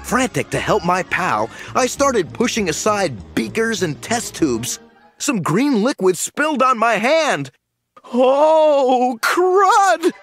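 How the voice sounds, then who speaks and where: A man narrating an audiobook in the first person with lively storytelling. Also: sits high, breathy edge, faint music behind. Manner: lively storytelling, slow and animated.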